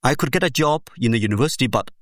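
A man speaking English as a second language explains his research in a measured, step-by-step way, pausing often to find his words. His pitch rises and falls with lively emphasis. The sound is dry and close, with no room echo. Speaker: education researcher, interview guest. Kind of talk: explanatory academic interview answer.

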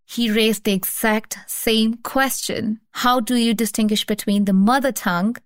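A woman's voice: on the high side, steady tone, measured pace, dry recording, clean studio sound. A woman from Pakistan, a researcher, earnestly explaining her work in a conversation and often repeating her phrases. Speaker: researcher, guest. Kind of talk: academic conversation.

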